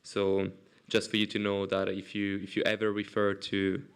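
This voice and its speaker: Clear-voiced man chairing a debate. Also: lowish voice, monotone. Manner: brisk, procedural, prone to restarts.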